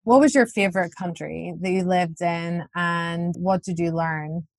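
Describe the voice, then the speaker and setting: A woman's voice: fairly low pitch, steady tone, measured pace, no room sound, studio quality. A woman from Northern Ireland with a Northern Irish accent, hosting a podcast interview in a friendly, appreciative way. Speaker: podcast host. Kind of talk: interview questions and sign-off.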